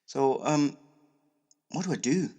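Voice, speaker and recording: A man playing a restless, plain-spoken young Highlander with a Scottish accent in an audio drama. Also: lively intonation, takes it slowly, warm tone, breathy edge. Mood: musing. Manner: colloquial, grumbling. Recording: audible background noise.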